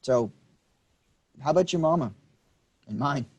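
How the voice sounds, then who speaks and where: An actor reading a quick-tempered young man, combative and swearing in a staged play reading. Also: a little high, expressive, darkish tone, slightly rough, muffled recording.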